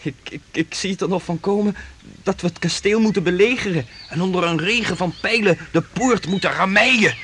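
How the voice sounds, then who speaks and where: A man playing a lively, curious, cheeky young companion in a radio play, eager and blunt. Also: high voice, brisk, slight background noise. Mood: joking.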